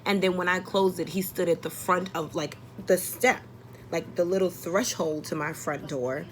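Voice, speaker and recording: A woman's voice: on the low side, fairly even intonation, moderate pace, a little hiss or hum, low-quality recording. A young adult woman telling a personal story casually, exasperated and blunt, acting out dialogue and swearing often.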